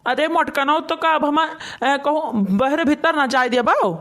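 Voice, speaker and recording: Very high voice, performer acting as hapless village man, Awadhi accent, plaintive, whining, comic cartoon delivery. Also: a little slow, a bit bright. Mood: pleading, grumbling. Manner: plaintive, wailing complaints.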